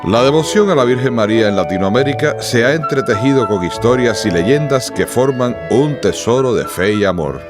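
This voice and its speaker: A man narrating a radio drama from a script in a formal, dramatic storytelling manner. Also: on the low side.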